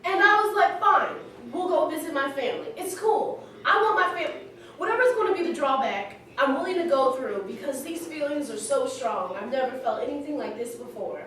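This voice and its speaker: A candid, smitten young woman, played by an actress shares her story in a chatty staged monologue. Her voice is high. It comes from some distance in a heavily echoing hall, with slight background noise. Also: a bit bright. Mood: candid, eager. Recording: very echoey hall, distant mic, faint noise.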